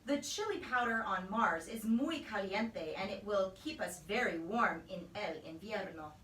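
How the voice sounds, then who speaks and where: A woman's voice: mid-range voice, expressive, moderate pace, a bit bright, slight background noise, close to an everyday voice. A woman voicing a tiny, boisterous storybook chihuahua who mixes in Spanish words. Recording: roomy, distant microphone.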